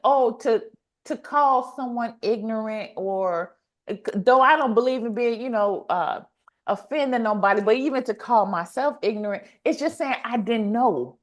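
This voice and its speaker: A woman hosting a podcast, chatting casually and motivationally in an animated way, repeating phrases for emphasis and acting out little quotes. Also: fairly high pitch, normal speed. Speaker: podcast host.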